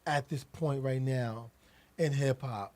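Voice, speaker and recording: A man's voice: medium pitch, slow, a little mellow. A man interviewing an artist, asking long questions with frequent restarts and repeated words.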